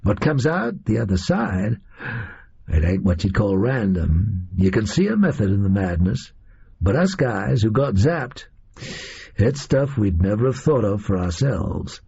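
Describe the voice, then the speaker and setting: A male reader voicing a disillusioned, boastful gunman in an audio story, with a high, reedy voice and folksy, slangy delivery. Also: big pitch swings, measured pace, creaky, mellow, breathy edge, muffled recording, full character voice. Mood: rambling, confiding.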